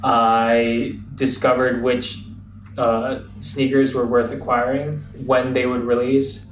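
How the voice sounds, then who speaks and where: A young American man telling his story as an interview guest, relaxed and reflective, with frequent fillers. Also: medium pitch, slow, soft background music. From United States. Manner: relaxed, reflective, many fillers like "you know". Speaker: guest, entrepreneur. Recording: roomy, distant mic, faint ambience.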